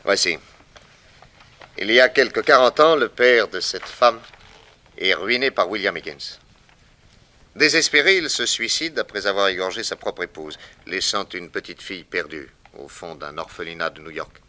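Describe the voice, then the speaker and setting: A man's voice: sits low, normal speed, sharp tone. A man acting a shrewd, confident private detective in an emphatic, declamatory 1960s French radio crime drama style. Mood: dramatic explaining. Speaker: detective hero and narrator.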